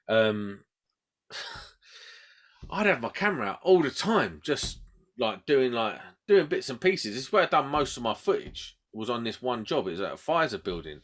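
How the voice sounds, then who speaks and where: A man hosting a podcast, bantering and telling anecdotes in slangy, sweary, repetitive phrases. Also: fairly low pitch. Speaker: podcast host.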